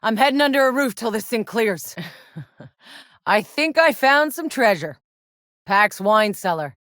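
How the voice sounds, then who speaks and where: A woman's voice: fairly high pitch, expressive, unhurried, a bit bright, dry recording, clean studio sound. A woman playing a tough, sardonic, battle-hardened soldier in a sci-fi video game, with a wry and blunt way of talking. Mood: wry, energetic.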